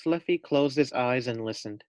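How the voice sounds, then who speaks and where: A man's voice: medium pitch, darkish tone, clean tone, no echo, studio quality. A man reading a children's storybook aloud as a teacher, speaking simply and stepping out for casual asides to young listeners. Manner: slow, simple phrasing, addresses children directly.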